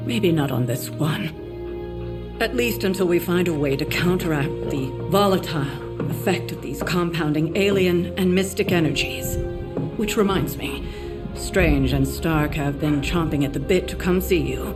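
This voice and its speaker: A woman's voice: on the low side, measured pace, natural voice. An actress playing a composed, protective older woman who leads and mentors a team in a video game. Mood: measured, concerned. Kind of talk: video game cutscene acting. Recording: music behind.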